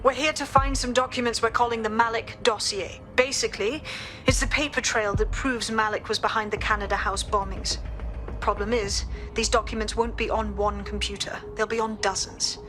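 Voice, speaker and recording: Woman as blunt resistance operative, slightly high voice. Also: steady tone, moderate pace, music in the background, lightly acted. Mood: businesslike. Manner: blunt, urgent. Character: tough, wary female resistance operative. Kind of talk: video game voice acting.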